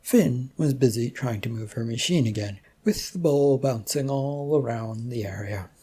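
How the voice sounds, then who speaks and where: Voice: mid-range voice, moderate pace, warm tone, slightly rough. A storyteller reading scripted fiction narration.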